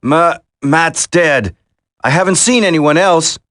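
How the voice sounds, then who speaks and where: A man playing an earnest, steady special forces operative in a dramatic video game. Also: fairly high pitch, slow, no room sound, clean studio sound. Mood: serious.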